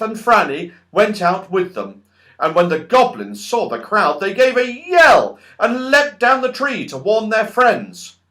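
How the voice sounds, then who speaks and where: A man narrating a children's audiobook in an expressive, dramatic and emphatic storytelling style. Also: sits high.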